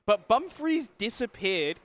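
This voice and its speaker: A man's voice: very high voice, sing-song, measured pace, a bit bright. Joking man casting a live esports match. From Denmark. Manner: joking, rambling banter with frequent restarts. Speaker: esports caster.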